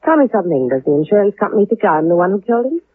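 An actress playing a cool, guarded young widow in a 1950s radio drama, with a self-possessed, understated delivery. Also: female voice, medium pitch, very animated, rapid-fire, slightly rough, no room sound. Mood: cool, challenging. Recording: tinny, phone-like 1950s radio recording.

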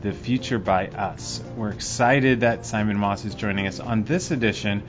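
A man's voice: lowish voice, normal speed, lo-fi sound, music in the background. A man hosting a podcast, reading an upbeat scripted intro and then interviewing a guest. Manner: upbeat, announcer-like. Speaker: podcast host.

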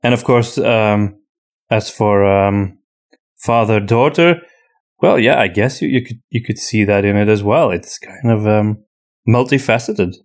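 Lower-voiced man chatting on a podcast. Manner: halting, searching for words, repeats himself. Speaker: podcast host and story writer answering listener questions. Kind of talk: casual unscripted podcast chat.

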